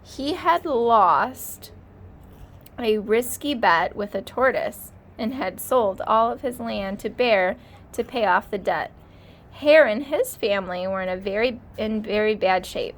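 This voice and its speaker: A woman teacher reading a storybook aloud to children, pausing to comment and ask questions. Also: medium pitch, lively intonation.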